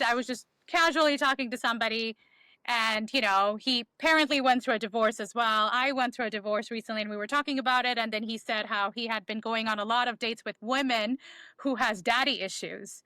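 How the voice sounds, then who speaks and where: A woman's voice: fairly high pitch, pushed and bright, clear, resonant tone, no echo, rough recording. A woman, a podcast guest candidly telling her life story in a reflective way with many ums. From Bangladesh. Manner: reflective, frequent um fillers.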